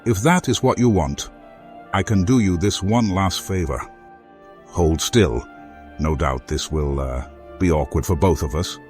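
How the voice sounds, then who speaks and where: A man playing a courteous, earnest former foe in a fantasy game, speaking formally and deliberately as he offers help. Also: low voice, a little mellow, faint music behind. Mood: earnest, storytelling. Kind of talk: video game character dialogue.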